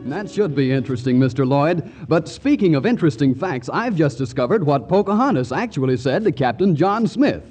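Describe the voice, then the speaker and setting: A man's voice: fairly high pitch, expressive, fairly quick, hardly any pauses, darkish tone, faint music behind. A man announcing on 1940s radio in a folksy, jokey, salesmanlike manner. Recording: vintage 1940s radio broadcast.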